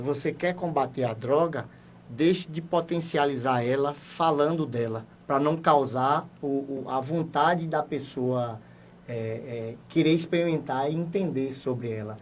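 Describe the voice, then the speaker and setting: A man's voice: mid-range voice, unhurried, recorded from a distance, a little hiss or hum. A man, a municipal education secretary, giving a formal, explanatory radio interview and building emphasis as he goes. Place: radio studio.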